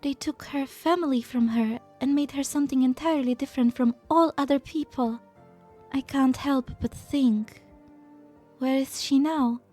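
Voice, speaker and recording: Woman voicing a high-voiced, gentle idealistic scientist. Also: moderate pace, soft background music, natural voice. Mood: gentle, wistful. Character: gentle, idealistic female scientist, softly determined. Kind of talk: dramatic fan-dub voice acting.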